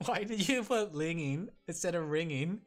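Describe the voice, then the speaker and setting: A man's voice: fairly high pitch, animated, unhurried, soft background music. Giggly rambling male streamer. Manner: giggly, rambling, many fillers. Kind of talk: casual stream chat.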